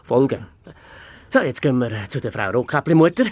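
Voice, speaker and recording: Performer as bossy fox inspector, Swiss German, children's audio drama. Very animated intonation, pompous, easily tongue-tied. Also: mid-range voice, brisk, no echo, close to an everyday voice. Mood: smug, lecturing. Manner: pompous, commanding, often stammering when flustered. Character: bossy, self-important fox police inspector, male. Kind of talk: children's radio play acting.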